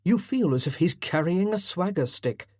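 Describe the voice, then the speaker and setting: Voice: medium pitch, expressive, normal speed, a little mellow, no echo, natural voice. A narrator voicing a young nobleman who makes dry, understated remarks.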